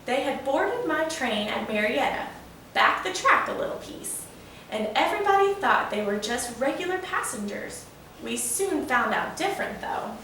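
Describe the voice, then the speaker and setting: A woman's voice: high-pitched, big pitch swings, normal speed, bright, forceful tone, full character voice. A woman voicing a proud, folksy old male steam locomotive in a children's storybook, telling a tale eagerly. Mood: folksy, animated. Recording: echoey room, distant mic, faint noise.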